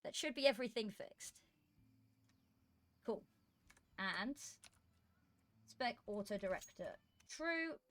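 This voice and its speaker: A woman's voice: fairly high pitch, expressive, slow, long pauses, fairly bright tone. A woman streaming esports, chatting casually while fixing her setup, her voice worn from long hours of streaming and often trailing into muttering to herself. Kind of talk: casual live-stream chat while setting up. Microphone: desk microphone.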